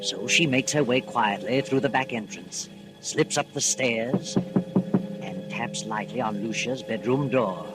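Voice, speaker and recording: A man playing the dramatic narrator of a golden age radio thriller, telling the tale with measured suspense. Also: medium pitch, lightly acted, low-quality recording. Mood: suspenseful. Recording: old radio recording with music underneath.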